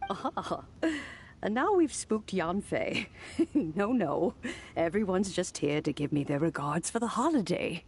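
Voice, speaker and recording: A woman playing a kindly old granny in a video game, speaking in a measured, reflective and gentle way. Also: fairly low pitch, very animated, gravelly, slight background noise, heavily acted. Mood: warm, amused. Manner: measured, reflective, with soft sighs. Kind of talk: scripted video game dialogue.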